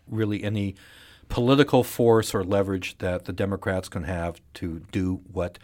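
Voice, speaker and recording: A man's voice: deep voice, fairly even intonation, moderate pace, a little mellow. A man giving conversational news commentary as a panelist, with a blunt, emphatic and opinionated way of talking. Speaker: newspaper journalist as panel commentator. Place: radio studio.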